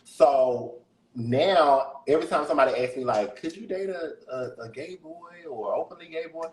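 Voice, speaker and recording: A man with a deep voice, a guest musician chatting candidly in a casual interview and laughing often. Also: expressive, moderate pace, reverberant, low-quality recording.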